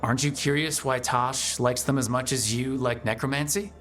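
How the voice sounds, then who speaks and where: A man's voice: mid-range voice, fairly even intonation, moderate pace, a bit bright, soft background music, natural voice. An actor playing a wry, encouraging male leader of a fantasy party in a video game. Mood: friendly teasing.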